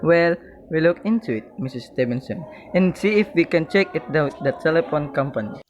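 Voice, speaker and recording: A woman playing an unhurried, dismissive police desk officer in a radio play, matter-of-fact and patronizing. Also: deep voice, animated, darkish tone, a little hiss or hum.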